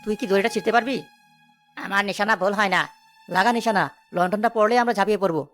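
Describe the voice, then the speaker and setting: A woman's voice: mid-range voice, fairly even intonation, quick pace, faint music behind, natural voice. A woman playing an earnest young village rescuer in a cartoon story, speaking in a dramatic, urgent manner. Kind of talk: animated cartoon story acting.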